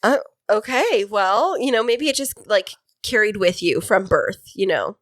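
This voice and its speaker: Woman co-host, animated and dry-sounding; bubbly, chatty interviewer. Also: medium pitch, unhurried, no room sound. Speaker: podcast co-host. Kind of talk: casual interview chat.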